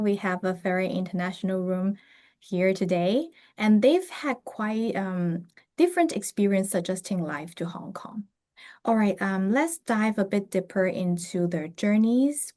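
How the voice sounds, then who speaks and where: A woman from a university admissions office hosting an online webinar, relaxed and friendly, with fillers. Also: medium pitch, unhurried.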